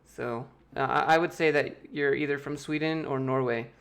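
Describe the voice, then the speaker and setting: A man's voice: mid-range voice, steady tone, moderate pace, vocal fry, a bit bright. American man casually answering livestream chat. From United States. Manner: loose, joking, reads chat questions aloud and answers offhand. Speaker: YouTube host answering chat.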